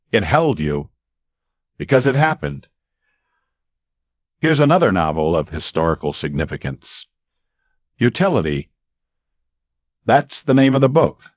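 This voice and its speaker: A man telling a scripted radio story with dramatic pauses and punchy, emphatic reveals. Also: fairly low pitch, dry recording, clean studio sound. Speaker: radio commentator.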